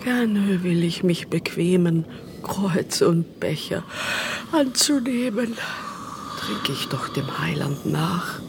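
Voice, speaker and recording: An actress playing a devout, sorrowful believer, reciting verse solemnly in a German radio play. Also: female voice, fairly low pitch, animated, takes it slowly, creaky, background noise, full character voice. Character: devout, sorrowful female believer witnessing the Passion.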